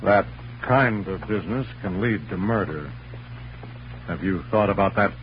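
Man playing a cool, very low-voiced radio hero. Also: a little hiss or hum, close to an everyday voice. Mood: wry, composed. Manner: composed, dry, measured. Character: cool-headed, wry crusading newspaperman hero. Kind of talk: 1940s radio crime drama acting. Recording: muffled, humming old radio recording.

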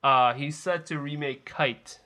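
Male podcast host, forceful bright voice, sardonic delivery, casual conversational movie chat. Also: on the high side, steady tone, a little slow. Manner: wry, sarcastic.

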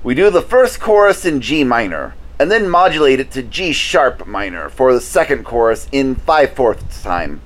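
A man's voice: mid-range voice, big pitch swings, normal speed, few pauses, close to an everyday voice. A man playing a theatrical mad-doctor horror movie host from another universe in a comedy segment. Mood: joking.

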